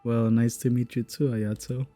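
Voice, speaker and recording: A woman streamer comments casually on a game in a very deep, mellow voice. She is laid-back and wry, with faint game music underneath. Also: little pitch movement, normal speed.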